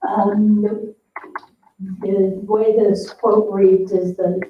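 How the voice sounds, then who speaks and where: A woman staff member explaining details at a public meeting, with a measured, halting delivery. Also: medium pitch, flat delivery. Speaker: staff member briefing a board. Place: indoor town board meeting room. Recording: roomy, distant, muffled meeting-room microphone with noise.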